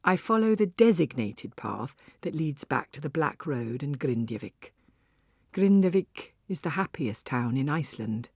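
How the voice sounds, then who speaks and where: Low, husky woman narrating fiction. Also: very animated, unhurried, a little mellow, no echo. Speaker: audiobook narrator. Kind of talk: first-person literary story narration.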